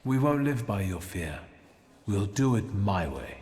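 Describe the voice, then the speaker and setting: A man acting a proud, cool-headed thaumaturge in a story video game, speaking in a measured, self-assured way. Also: on the low side, sing-song, a little mellow, big-room sound. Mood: proud, defiant. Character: proud, defiant male thaumaturge, cool and scheming.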